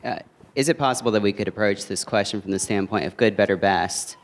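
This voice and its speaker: Man, deliberate, thoughtful, repeats words, handheld mic, auditorium stage. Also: fairly low pitch. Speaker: panelist. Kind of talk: panel discussion.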